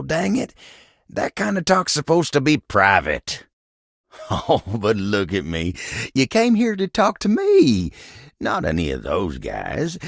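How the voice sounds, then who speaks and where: An actor voicing a bashful, folksy, old-fashioned talking table in a video game, with a gravelly voice and an American Southern, Western-style drawl. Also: male voice, fairly low pitch, no room sound, studio quality, full character voice. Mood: friendly, welcoming. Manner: folksy, rambling, flustered hesitations. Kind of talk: video game character dialogue.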